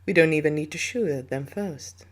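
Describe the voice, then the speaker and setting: Hive negotiator, female actor: formal, composed, calculating alien authority figure, low female register, sci-fi roleplay. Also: moderate pace. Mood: intrigued, calculating. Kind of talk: scripted sci-fi audio roleplay.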